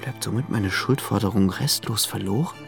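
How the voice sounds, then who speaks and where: An actor playing a moody young gentleman in a radio play, musing dismissively to himself, with music underneath. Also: lowish voice, normal speed, creaky. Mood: troubled. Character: moody young gentleman, brooding over money.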